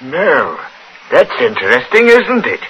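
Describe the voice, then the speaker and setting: A man's voice: sits high, wildly swooping pitch, gravelly, bright, forceful tone, heavily acted, low-quality recording. Village policeman, methodical and doubtful, lilting delivery, old radio drama, faint hiss. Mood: probing. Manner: measured, questioning, understated. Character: plain, methodical, quietly doubtful male village constable. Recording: hissy vintage radio recording.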